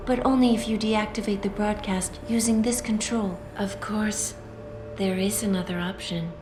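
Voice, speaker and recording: A woman playing a calm, poised artificial newsreader in a video game, speaking formally and deliberately. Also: medium pitch, natural voice. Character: calm, poised female AI construct, a news reporter. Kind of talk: video game voice acting, measured and portentous. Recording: roomy echo, loud music behind.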